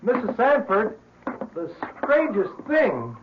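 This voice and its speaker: An actor playing a bewildered, earnest builder who stammers and repeats himself in an old-fashioned radio drama. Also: male voice, high voice, huge pitch swings, takes it slowly, exaggerated voice. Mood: shaken, disbelieving. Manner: hesitant, stammering, repeats words. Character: rattled, bewildered working builder, polite and earnest. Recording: noisy, muffled old radio recording.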